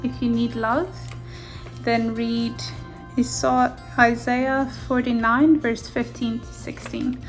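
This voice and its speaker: Soft-spoken woman, native Afrikaans speaker. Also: fairly high pitch, flat delivery, soft background music, poor audio. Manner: gentle, softly enthusiastic, unhurried. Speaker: junk journal maker. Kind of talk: casual flip-through narration. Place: indoors at a craft table.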